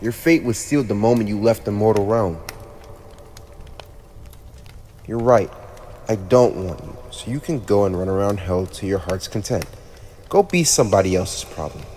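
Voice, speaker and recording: A man's voice: on the low side, warm tone, close to an everyday voice. A man voicing a haughty, teasing teenage demon lord with a playfully cruel streak, in an ASMR roleplay addressed to the listener. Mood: bored, dismissive. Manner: haughty, teasing, theatrical, with drawn-out pauses. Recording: long reverb, audible background noise.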